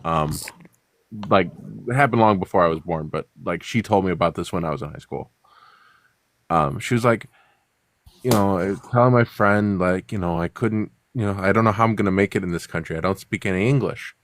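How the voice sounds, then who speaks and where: A man's voice: sits low, fairly quick, no room sound. A man with a Chicago accent, Russian his first language, telling stories as a guest in casual talk, full of fillers and restarts. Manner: rambling storyteller, many fillers and restarts. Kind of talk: casual conversation.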